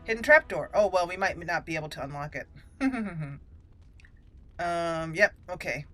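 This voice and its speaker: A woman's voice: lowish voice, animated, measured pace, pushed and bright. A woman hosting a gaming let's play, chatting casually and thinking aloud as she plays. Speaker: gaming channel host, let's play commentator. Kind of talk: casual gameplay commentary. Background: game music.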